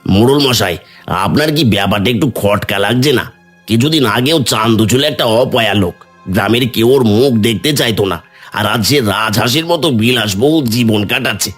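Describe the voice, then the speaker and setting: A man's voice: on the low side, moderate pace, fairly bright tone, soft background music. A man playing an envious, greedy, suspicious village schemer in a cartoon, with an insinuating way of talking. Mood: suspicious, resentful. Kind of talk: cartoon dialogue.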